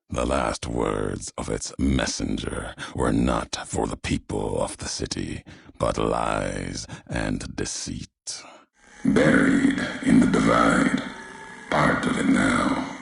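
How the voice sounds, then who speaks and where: A man voicing a brooding, vengeful wasteland messenger in a video game, speaking in solemn, measured, cryptic pronouncements with a gravelly, husky voice. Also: extremely low pitch, no echo, full character voice. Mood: cold, accusing. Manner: solemn, measured, cryptic oratory with weighted pauses. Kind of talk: dramatic video game character monologue.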